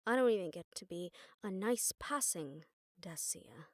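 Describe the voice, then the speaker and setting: A woman's voice: lowish voice, lively intonation, a little slow, no room sound. Wry witch investigator, played by a woman, dry close sound. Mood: bitter, sarcastic. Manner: sardonic, conversational. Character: wry, jaded young witch investigator who sees death visions. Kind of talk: roleplay audio drama, spoken to the listener as a partner.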